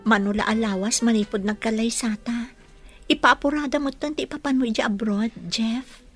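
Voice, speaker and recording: High, tender young wife played by a woman. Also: fairly even intonation, normal speed, faint music behind, lightly acted. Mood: wistful. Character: affectionate, wistful young wife. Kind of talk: Philippine radio soap opera acting.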